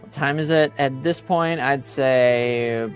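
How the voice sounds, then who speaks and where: A man's voice: mid-range voice, takes it slowly, soft background music. A man acting as game master, explaining things hesitantly with many fillers. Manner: hesitant, lots of ums and likes. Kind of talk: narrating a tabletop game.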